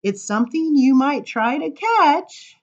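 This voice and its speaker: A woman reading children's poems aloud at story time, informal, with dramatic emphasis and drawn-out words. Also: sits high, sing-song, lo-fi sound. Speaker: library story time reader.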